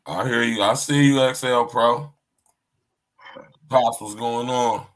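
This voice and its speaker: A man's voice: mid-range voice, fairly even intonation, slow, fairly bright tone. A man hosting his podcast, opening with loose, hype greetings full of slang. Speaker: podcast host. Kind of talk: casual podcast intro.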